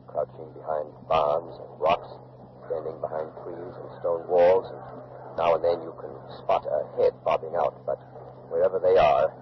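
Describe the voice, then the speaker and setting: An American man playing a grave radio news correspondent giving a deliberate eyewitness report from a battlefield in a 1940s radio drama. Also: very deep voice. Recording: muffled, noisy 1940s radio recording.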